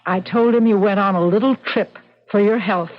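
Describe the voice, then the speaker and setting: A woman's voice: medium pitch, fairly even intonation, normal speed, natural voice. A woman playing a practical, wary, mature mother-in-law in an old-time radio drama, answering in a clipped, matter-of-fact way. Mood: guarded, concerned. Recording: muffled old radio recording, faint noise.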